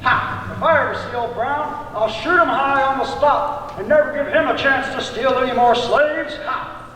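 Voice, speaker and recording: A man's voice: very high voice, moderate pace, a crowd in the background. A man voicing a blustering, cowardly pro-slavery orator in a children's puppet show. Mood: boastful, menacing. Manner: boastful, blustering, turning to stammering excuses. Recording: echoey hall from far off, music.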